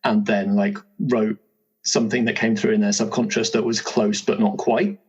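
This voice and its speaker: A man hosts a tabletop game chat in a lowish, slightly rough voice. He explains options in a casual, conversational style. The sound is a touch muffled. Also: flat delivery, moderate pace, darkish tone. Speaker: host, game compère.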